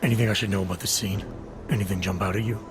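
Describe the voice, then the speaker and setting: Low, brisk male investigator, acted by a man. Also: fairly even intonation, music in the background, natural voice. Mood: businesslike, probing. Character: terse, methodical male investigator. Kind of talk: video game cutscene dialogue.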